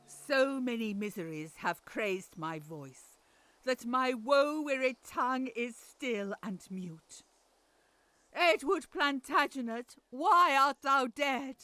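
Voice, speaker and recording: A woman's voice: high-pitched, expressive, takes it slowly, bright, forceful tone, no echo, heavily acted. A woman playing an aged, sorrowful royal mother in an audio drama, speaking in a weary, mournful way. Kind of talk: Shakespearean audio drama acting.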